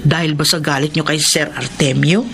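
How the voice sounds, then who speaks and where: A woman's voice: low-pitched, fairly even intonation, normal speed, noisy background. A woman playing a chatty, meddling middle-aged housemaid in a radio drama, breezy and insistent. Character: chatty, cheeky, meddling housemaid, mother of a student. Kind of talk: Tagalog radio drama acting.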